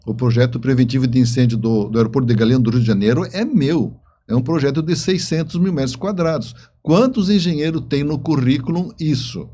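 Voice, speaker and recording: A man, telling stories in an interview in a halting, emphatic way with repeated words. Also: mid-range voice, dark tone, breathy edge. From southern Brazil. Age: 70s. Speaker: interview guest, writer and engineer. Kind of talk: conversational interview storytelling.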